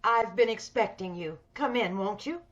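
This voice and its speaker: A woman playing a sinister, hideous schemer in an old-time radio horror play, speaking with measured, coolly polite menace. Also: medium pitch, close to an everyday voice. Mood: coolly courteous. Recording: dull video-call radio play recording.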